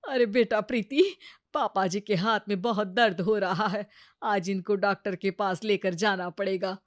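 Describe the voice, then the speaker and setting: A woman acts a weary older mother-in-law in a moral tale. She speaks slowly in a dry, close sound. Her manner is patient and gently pleading. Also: medium pitch, no room sound, lightly acted. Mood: worried. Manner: patient, plaintive.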